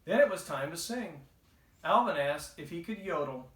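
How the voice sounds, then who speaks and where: A man's voice: a little high, expressive, normal speed. Echoey male storybook narrator. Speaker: storybook reader. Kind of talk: children's read-aloud narration. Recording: echoey, roomy home recording.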